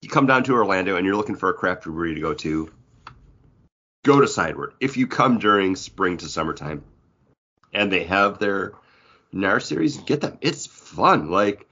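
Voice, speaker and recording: Male co-host, lower register, jokey and mock-outraged, conversational, muffled lo-fi recording. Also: expressive, normal speed, pushed and bright. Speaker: podcast co-host. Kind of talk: casual banter.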